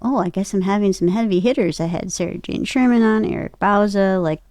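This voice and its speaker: A woman's voice: mid-range voice, a little fast, a little mellow, no room sound, clean studio sound. A woman talking casually as an interview guest, often retelling things by quoting her own thoughts aloud. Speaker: interview guest, voice actor and podcaster. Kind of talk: casual chat.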